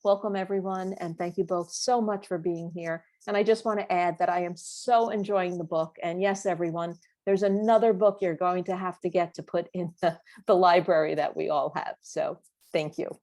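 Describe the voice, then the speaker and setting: A woman host opens the session with a measured, scripted disclaimer. Her voice sits on the low side, then she warmly welcomes her guests. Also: fairly even intonation.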